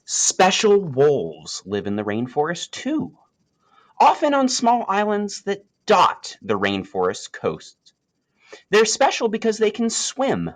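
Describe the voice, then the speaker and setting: A man reading a children's nature story aloud, with expressive, emphatic phrasing and dramatic pauses. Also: high-pitched.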